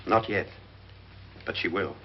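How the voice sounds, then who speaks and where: An actor playing a self-assured murder plotter in a 1950s horror film, calm and conspiratorial. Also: male voice, deep voice, a little slow. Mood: smug, conspiratorial. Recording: dull, noisy old film soundtrack.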